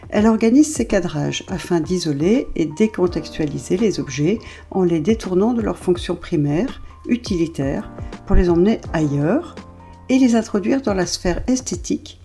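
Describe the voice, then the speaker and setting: A woman interviewer who reads a formal introduction and then asks questions in a measured way. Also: fairly low pitch, gravelly, warm tone. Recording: roomy sound with faint music.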